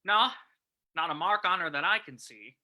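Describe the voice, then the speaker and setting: Man playing a very forceful police commissioner. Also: high voice, expressive, moderate pace, full character voice. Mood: brisk. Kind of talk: old-time radio reading acting.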